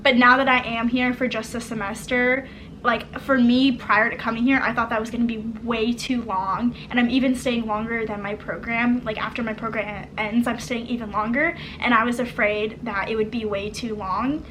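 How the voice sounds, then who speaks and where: A woman's voice: fairly high pitch, steady tone, normal speed, a bit bright, slight background noise, low-quality recording. A young woman from the United States hosting a casual chat about studying abroad, talking with lots of "like". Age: young adult. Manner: chatty, filler-heavy, frequent "like". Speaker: host and exchange student.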